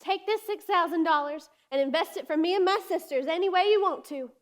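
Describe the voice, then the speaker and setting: An actress playing a trusting, warm-hearted young country woman, the eldest orphaned sister, speaking in an earnest, folksy way in a stage-style drama. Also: extremely high pitch, fairly quick. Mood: defiant trust.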